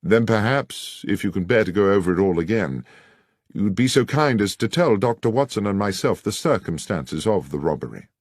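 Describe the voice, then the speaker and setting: A man playing an incisive, courteous Victorian gentleman detective in an audiobook, measured and formal. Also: fairly low pitch, expressive, breathy edge, no room sound, studio quality. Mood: cool, probing. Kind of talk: dramatized audiobook character dialogue.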